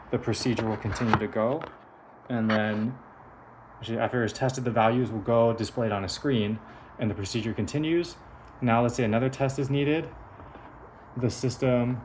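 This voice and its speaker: A man's voice: mid-range voice, normal speed, background noise. A man giving a hands-on technical demonstration of a device in a methodical, explanatory manner. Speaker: engineer presenting a prototype device.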